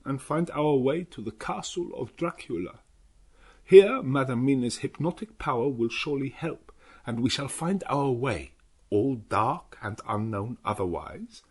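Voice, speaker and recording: A man's voice: medium pitch, slightly rough. A man voicing an earnest old professor with solemn, foreign-sounding phrasing, in an audiobook. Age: older. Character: old Dutch professor, earnest, grave, kindly vampire hunter.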